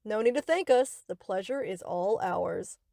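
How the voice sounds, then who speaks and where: A woman's voice: medium pitch, lively intonation, measured pace, creaky, warm tone, no room sound, studio quality. A woman playing a young yandere captor in a scripted roleplay, speaking to the listener with cutesy, rambling, possessive affection. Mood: sweetly possessive. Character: sweet, obsessive yandere best friend, young woman captor.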